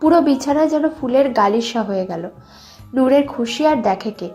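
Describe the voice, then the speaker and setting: A woman's voice: high voice, animated, moderate pace, dark tone, faint music behind. A woman reading scripted romantic fiction as a storyteller. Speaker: narrator.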